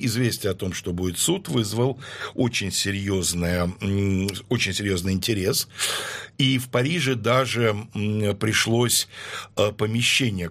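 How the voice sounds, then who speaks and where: Man, guest historian, husky tone, measured explanatory delivery with hesitant pauses. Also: on the low side, a bit bright. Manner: measured, lecturing with frequent hesitations. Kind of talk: explanatory interview talk.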